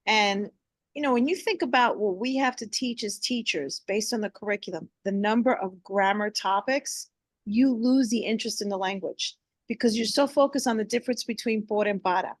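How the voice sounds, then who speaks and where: A woman's voice: mid-range voice, moderate pace, dry recording. A woman who is a teacher, speaking with conviction in a group discussion and dropping in Spanish phrases. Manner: impassioned, mixes in Spanish phrases. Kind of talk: discussion contribution.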